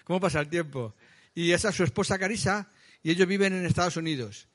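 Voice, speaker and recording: High-pitched Spanish man leading church gathering. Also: fast talker, a bit bright. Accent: Spain. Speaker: church meeting leader. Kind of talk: informal church announcements, greeting visitors.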